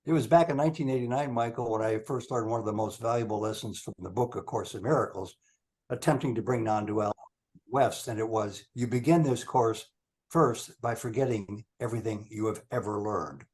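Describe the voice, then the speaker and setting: A man's voice: on the low side, fairly quick, a little mellow. A man in his seventies hosting a spiritual discussion group over a video call, chatty and questioning. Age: 70s. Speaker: satsang group host asking questions.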